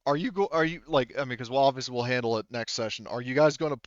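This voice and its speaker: A man talking casually as game master to his players in a halting, hedging way. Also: medium pitch, dry recording, highs cut off. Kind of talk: casual game-running talk among players. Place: online voice session.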